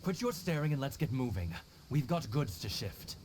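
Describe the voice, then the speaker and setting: A man playing a brisk, dutiful retainer in a video game, commanding yet respectful. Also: medium pitch, sing-song, darkish tone, a little hiss or hum, natural voice. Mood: concerned. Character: brisk, dutiful retainer guarding a noble lady. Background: background music.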